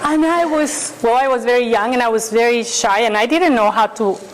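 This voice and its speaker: A woman pastor delivers a first-person sermon as dramatic storytelling. Her voice sits fairly high, and she keeps it animated with asides to the congregation. The church recording has a noisy hiss underneath. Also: a little fast. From Colombia. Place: church sanctuary.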